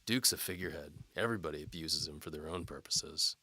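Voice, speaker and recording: A man playing a wry, cynical, down-on-his-luck knight for hire in an audio drama, hard-boiled and deadpan. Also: extremely low pitch, fast talker, slightly rough, full character voice. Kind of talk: audio drama acting, noir detective.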